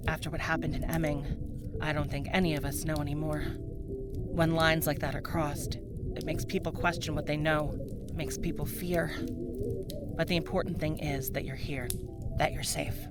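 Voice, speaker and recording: A woman speaking gently and caringly to the listener in an ASMR roleplay. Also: low-pitched, level tone, normal speed. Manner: gentle, caring, soft-spoken. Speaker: audio drama performer. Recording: voice over background music.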